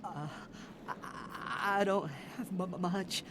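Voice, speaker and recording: Terrified, high-pitched stammering vampire. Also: male voice, fairly even intonation, background noise. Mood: desperate pleading. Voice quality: stuttering, tearful. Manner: pleading, halting, apologetic. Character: terrified, meek, stammering young male vampire begging for mercy. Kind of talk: video game voice acting.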